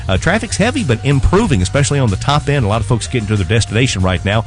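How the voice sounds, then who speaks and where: A man's voice: medium pitch, sing-song, racing. Conversational male traffic reporter over light music. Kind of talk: live radio traffic report with sponsor reads. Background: light music bed.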